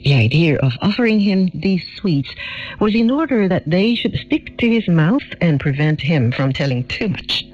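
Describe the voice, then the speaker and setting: A man reading a story aloud as a narrator in a measured, formal way, heard on a shortwave radio recording. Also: fairly high pitch, lo-fi sound. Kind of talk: scripted narration, audiobook reading.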